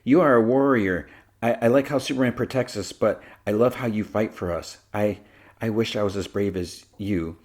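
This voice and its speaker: A man voicing a timid, earnest little girl who speaks softly and hesitantly, with small stammers. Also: fairly low pitch, moderate pace, pitched above the performer's own voice, natural voice. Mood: shy, admiring.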